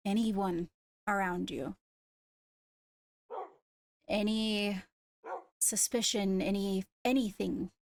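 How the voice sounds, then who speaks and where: A woman with measured, formal phrasing, addressing a court as its leader in an improvised roleplay. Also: medium pitch, vocal fry, no room sound. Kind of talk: improvised in-character roleplay announcement.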